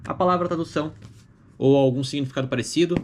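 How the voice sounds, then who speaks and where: Fast-talking, chatty young Brazilian man. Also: on the high side, slight background noise. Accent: Brazilian Portuguese. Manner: chatty, upbeat, quick with frequent fillers. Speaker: vlogger documenting learning English. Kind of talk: casual first-person vlog talk.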